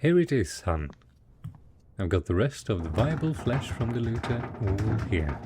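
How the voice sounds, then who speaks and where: A man playing a devoted, tender husband in a post-apocalyptic audio drama, speaking in a gentle, coaxing, husky voice with plenty of endearments. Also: fairly low pitch, very animated, normal speed, noisy background. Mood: tender anticipation. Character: devoted, tender, hopeful husband caring for ghoul spouse after apocalypse. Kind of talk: roleplay audio drama, intimate monologue addressed to listener.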